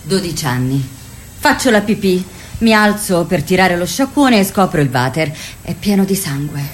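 A blunt woman recounting girlhood frights for radio theatre. Her voice is rather low and very animated, with a faint noise behind it. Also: moderate pace. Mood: startled recounting. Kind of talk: theatrical radio drama monologue.

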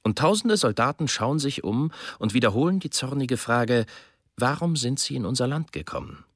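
A man's voice: mid-range voice, very animated, moderate pace, no echo. A man reading a translated voice-over steadily and earnestly for a German radio documentary, recorded in a studio. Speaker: voice-over reader.

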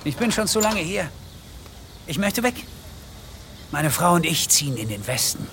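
Sickly, desperate husband, high creaky voice. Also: male voice, sing-song, normal speed, a bit bright, slight background noise. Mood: desperate, determined. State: unwell, breathing heavily. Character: sickly, desperate, downtrodden husband and garage man. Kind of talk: radio drama acting.

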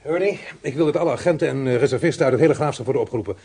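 A police chief inspector in a radio play, played by an actor, brisk and methodical as he gives orders. His voice sits at a middle pitch over faint noise. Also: warm tone, close to an everyday voice. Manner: brisk, commanding. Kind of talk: radio drama acting.

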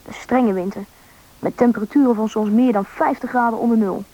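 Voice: medium pitch, a little fast, mellow, close to an everyday voice. A performer playing a young boy who narrates his own story in a scripted radio drama. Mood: reflective. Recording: dull, band-limited radio recording.

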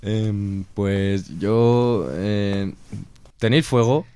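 A man's voice: on the low side, fairly even intonation, very slow, dark tone, clean tone, no echo. A man playing a bumbling fraud of a wizard, pompous yet cowardly and hesitant, in a comic audio drama. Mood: sheepish.

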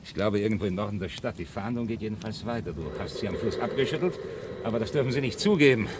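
Low-voiced, wry actor as male outcast leader. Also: expressive, quick pace, background noise, lightly acted. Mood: matter-of-fact. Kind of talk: conversational radio drama acting.

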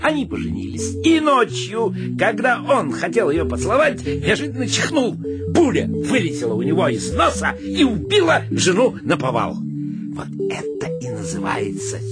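An actor playing a fussy, aggrieved gentleman in a comic radio play, pompous and declamatory. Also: high-pitched, wildly swooping pitch, moderate pace, over loud music. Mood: relishing, storytelling.

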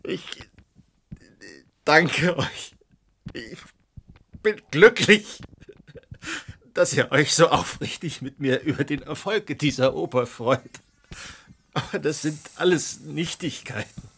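An actor playing a tipsy, impassioned Romantic artist in a radio drama, declaiming bitter philosophy with halting dramatic pauses. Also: male voice, on the high side, gravelly, a bit bright, husky, exaggerated voice. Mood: elated, then fervent, tipsy. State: drunk. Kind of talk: German radio drama acting.

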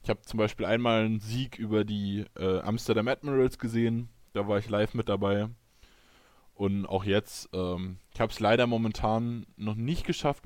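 A man speaks in a somewhat low voice, measured and hesitant, filling pauses with ähm. The sound is dry and close. He co-hosts a casual podcast chat. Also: darkish tone, no echo. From Frankfurt, Germany. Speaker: podcast co-host.

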